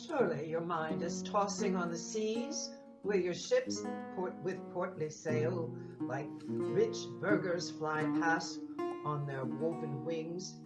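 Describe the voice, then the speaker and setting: A woman's voice: fairly low pitch, slow. A woman playing a poised, playfully coaxing Venetian lady with formal Shakespearean delivery. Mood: grand. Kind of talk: Shakespearean audio drama acting. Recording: loud plucked lute music behind the voice. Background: lute music.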